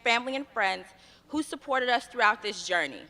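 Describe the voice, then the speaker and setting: A young American woman delivering a commencement speech as the graduate student speaker, measured and earnest, with rhythmic lists. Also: fairly high pitch, expressive, sharp tone, long reverb tail. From United States. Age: young adult. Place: outdoor graduation ceremony on a field.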